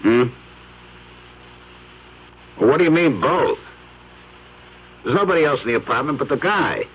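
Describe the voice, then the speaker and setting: An actor playing a gruff, world-weary police detective, colloquial and matter-of-fact, in an old-time radio drama. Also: male voice, mid-range voice, heavily acted. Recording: dull, hissy vintage radio recording.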